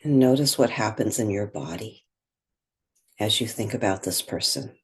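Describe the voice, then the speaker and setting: A woman leading a guided meditation in gentle, unhurried phrases with pauses. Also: extremely low pitch, lively intonation, husky.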